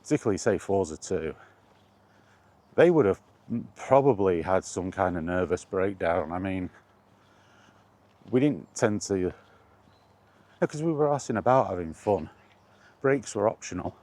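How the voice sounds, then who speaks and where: Deep-voiced British man, casually rambling. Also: lively intonation, measured pace, long pauses, darkish tone. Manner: wry, colloquial. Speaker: vlogger. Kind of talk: casual rambling chat about gaming.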